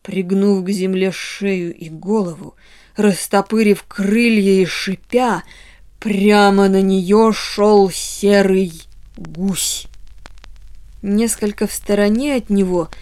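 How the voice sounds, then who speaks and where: A woman storyteller giving an expressive, dramatic narration of a children's story, with deliberate pauses. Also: medium pitch.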